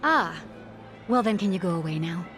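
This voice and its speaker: An actress playing a snooty, dismissive social climber in a video game, with a haughty manner. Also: female voice, on the low side, lively intonation, normal speed, a bit bright, soft background music. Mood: irritated, dismissive. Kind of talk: exaggerated video game voice acting.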